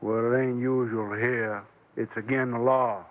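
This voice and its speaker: A man's voice: mid-range voice, fairly even intonation, measured pace, close to an everyday voice. Man doing smug folksy old west sheriff, mid voice, likes being in charge, tinny old radio. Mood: firm, folksy. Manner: folksy, authoritative. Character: self-satisfied Old West sheriff, folksy but controlling. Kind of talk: 1950s radio western acting. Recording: tinny vintage radio recording.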